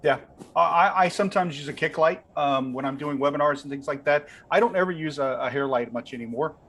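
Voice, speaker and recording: A man giving an informal, conversational explanation as a webinar panelist, softening his points as he goes, speaking from a room at home. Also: fairly high pitch, fairly quick, slight background noise. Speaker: panelist on lighting gear.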